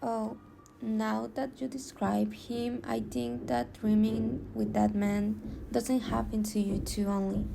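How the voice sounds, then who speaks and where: A woman's voice: medium pitch, little pitch movement, slow pace, gravelly. A woman playing a schoolchild among friends in an amateur radio drama. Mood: thoughtful. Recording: very noisy, hissy microphone.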